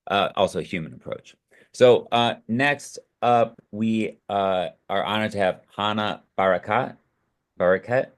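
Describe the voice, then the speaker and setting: A man's voice: on the low side, unhurried. A man moderating a webinar, introducing the next panelist over a video call in a hesitant way, full of fillers. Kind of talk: introducing a speaker.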